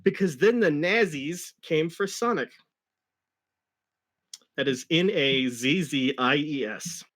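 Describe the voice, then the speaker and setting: A man's voice: fairly high pitch, animated, takes it slowly, a bit bright. A man reading a story aloud in an amused, mock-dramatic style, with casual asides. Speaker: reader of a fan story.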